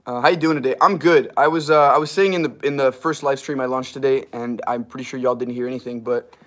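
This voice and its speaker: Young man with a slightly hoarse voice chatting on a livestream. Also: mid-range voice, steady tone, fast talker. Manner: rambling, laughing, chatty with fillers. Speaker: livestream host. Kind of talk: casual livestream chat reading comments. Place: small wood-paneled garage room, indoors. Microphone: device camera at arm's length.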